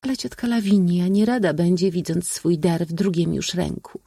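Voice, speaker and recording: Man putting on a high-pitched coy young princess. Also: steady tone, moderate pace, gravelly, warm tone, husky, dry recording, clean studio sound. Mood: coy, flustered. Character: young princess, coy, bashful, politely reserved.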